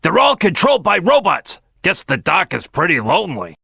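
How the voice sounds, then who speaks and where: An actor voicing a brash, gung-ho male crocodile detective boss in a gruff, raspy video game voice. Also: high-pitched, huge pitch swings, normal speed, bright, forceful tone, dry recording, exaggerated voice. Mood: brash, commanding.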